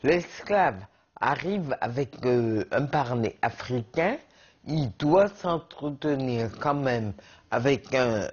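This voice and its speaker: A woman with a low, husky voice who speaks very slowly, pausing with hesitations as she thinks things through. Her pitch rises and falls widely. The sound is slightly muffled. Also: a little mellow. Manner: thoughtful, hesitant, measured. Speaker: interviewee. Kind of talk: documentary interview.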